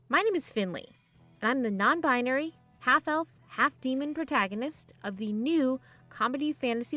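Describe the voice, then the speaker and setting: A woman's voice: fairly high pitch, lightly acted. A woman playing a cheerful, optimistic young non-binary half-elf half-demon, chattily narrating a podcast promo. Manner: upbeat, chatty. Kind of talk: first-person scripted podcast promo. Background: music.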